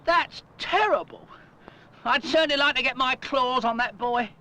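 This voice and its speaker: A man's voice: very high-pitched, moderate pace. A man voicing a small, chirpy, naive and easily indignant cartoon bird in an old public information film. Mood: indignant, sorrowful. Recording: faintly noisy old 1970s film soundtrack.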